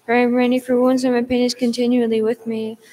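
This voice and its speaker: Woman reciting psalms in a flat monotone. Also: on the high side, quick pace. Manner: steady monotone recitation with occasional restarts. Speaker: reader of liturgical psalms. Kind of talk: psalm reading in English and Greek.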